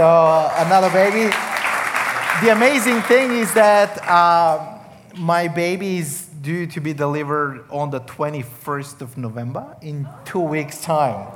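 Serbian-Australian accented man in a reverberant hall. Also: fairly high pitch. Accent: Serbian with an Australian tinge. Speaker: visiting church leader, interview guest. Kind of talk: casual onstage interview with light humor. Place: church stage. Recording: reverberant hall, clearly noisy background.

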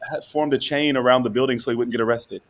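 A law professor guest talking casually and animatedly in an interview, often laughing and checking in with "right?". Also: male voice, medium pitch, steady tone, fast talker.

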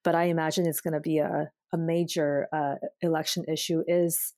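A woman in her thirties from China, co-hosting a casual podcast, talking thoughtfully with frequent pauses and restarts. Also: low voice, flat delivery, a little mellow, no room sound, clean studio sound. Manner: thoughtful, many fillers and restarts. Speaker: podcast co-host.

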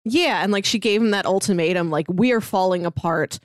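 A woman co-hosting a casual podcast, talking in an animated way and laughing often. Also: medium pitch, fairly quick, no echo, studio quality. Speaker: podcast co-host.

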